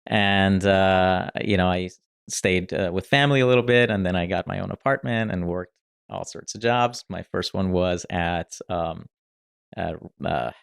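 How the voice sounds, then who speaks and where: Man from Iran, interview guest, very deep voice, measured and hesitant. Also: gravelly, clear, resonant tone, dry recording, clean studio sound. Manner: measured, hesitant, many fillers. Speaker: facial plastic surgeon, guest. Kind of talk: interview storytelling.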